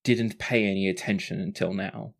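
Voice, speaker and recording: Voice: deep voice, steady tone, moderate pace, creaky. A game master narrating a tabletop role-playing game in a casual, sweary way.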